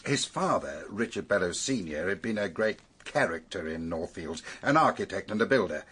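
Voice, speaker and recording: A British police superintendent in a radio mystery, authoritative and wry. He lays out facts in order, his low, husky voice moving expressively up and down. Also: animated, a bit bright, heavily acted. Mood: expository. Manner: measured, emphatic, expository. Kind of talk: British radio drama acting.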